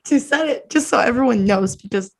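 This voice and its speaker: A woman's voice: on the high side, lively intonation, fairly quick, a little hiss or hum. Casual young American woman, teasing. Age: 20s. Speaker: podcast host. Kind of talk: casual chat, playful bickering.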